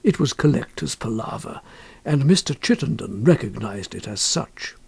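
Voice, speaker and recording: A man's voice: mid-range voice, animated, moderate pace, creaky, darkish tone, breathy edge, dry recording. A man reading a literary story as an audiobook narrator.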